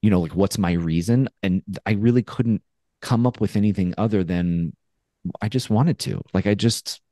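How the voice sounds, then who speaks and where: A man in his forties co-hosting a podcast over a video call, telling a story in a casual, animated way and acting out his own thoughts. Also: fairly low pitch, moderate pace, no echo, clean studio sound. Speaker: podcast co-host. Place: home studio with brick wall. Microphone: headphones and studio mic on a video call.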